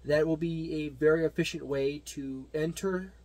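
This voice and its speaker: A man giving a casual, unscripted product overview as a hobby reviewer, talking haltingly and wandering into side remarks. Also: fairly high pitch, steady tone, darkish tone. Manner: halting, digressive delivery. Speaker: tabletop gaming reviewer.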